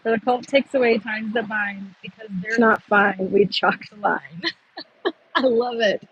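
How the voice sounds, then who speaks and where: A woman's voice: fairly high pitch, unhurried, highs cut off, a little hiss or hum. A woman live-streaming from a phone on a gimbal, chatting casually with her viewers with lots of fillers and giggles. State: a little thirsty. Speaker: livestreamer.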